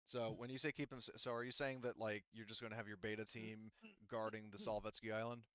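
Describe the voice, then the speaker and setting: A man with a low, smooth, resonant voice runs a tabletop game session, explaining rules patiently and filling pauses with ums and likes. The sound is dry and slightly dull, as from a home microphone. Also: little pitch movement, a bit bright, no room sound. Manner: patient, explanatory, many fillers. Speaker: game master.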